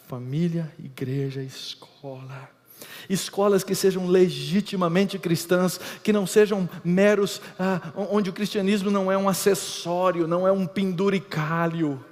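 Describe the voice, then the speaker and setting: A middle-aged Brazilian man with a Brazilian Portuguese accent, preaching an emphatic lecture-style sermon that swings between loud and hushed. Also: high-pitched. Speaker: pastor. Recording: reverberant hall.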